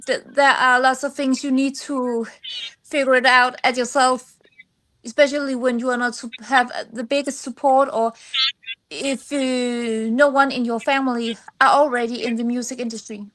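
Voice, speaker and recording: A woman from Denmark with a Danish accent, answering personal interview questions in halting English as she searches for words. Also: high-pitched, level tone, fairly bright tone. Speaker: singer-songwriter guest.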